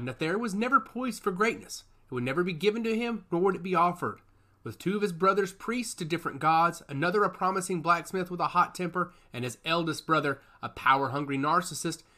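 A man narrating a science fiction audiobook in an expressive storytelling manner. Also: fairly high pitch.

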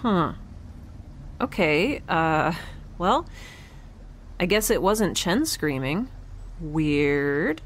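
Lively, wry young spacefarer woman. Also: low voice, drawn-out words, pauses often, slight background noise, heavily acted. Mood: puzzled, dismissive. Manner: casual, quippy, wry. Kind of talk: audio drama acting.

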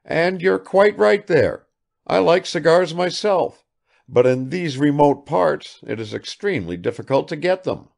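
A man's voice: fairly high pitch, animated, unhurried, creaky, darkish tone, voice pushed up. A man reading aloud and voicing an eager, garrulous, good-natured old country father who chatters too much.

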